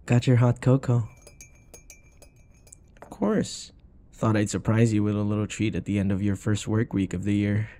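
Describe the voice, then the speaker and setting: A man playing an affectionate, teasing young boyfriend in an intimate comfort audio roleplay, speaking in a soft, tender murmur. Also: fairly low pitch. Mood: affectionate, cheerful.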